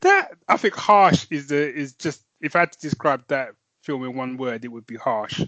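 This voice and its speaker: A man's voice: medium pitch, normal speed, dry recording, highs cut off. A man co-hosting a podcast in casual chat, telling stories with lots of laughter and a habit of saying "man". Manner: laughing, animated storytelling with "man" and "mate". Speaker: podcast co-host.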